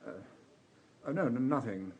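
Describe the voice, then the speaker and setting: An actor playing an evasive, uneasy murder suspect in a radio drama, hesitant and defensive. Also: male voice, mid-range voice, expressive, normal speed, a little mellow, natural voice.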